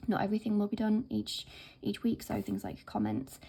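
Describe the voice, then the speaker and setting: A woman with a hoarse, croaky voice from being ill, chatting casually to camera about her planner with plenty of fillers. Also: medium pitch, brisk, slight background noise, rough recording. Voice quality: croaky, whispery. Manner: chatty, relaxed, many fillers. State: hoarse from illness. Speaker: planner vlogger.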